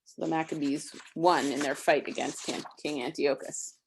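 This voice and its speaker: A woman's voice: deep voice, fairly even intonation, moderate pace, vocal fry, a little mellow, slight background noise, low-quality recording. A woman reading a rhyming children's story aloud expressively, with simple, casual explanations for a young listener. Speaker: storybook reader.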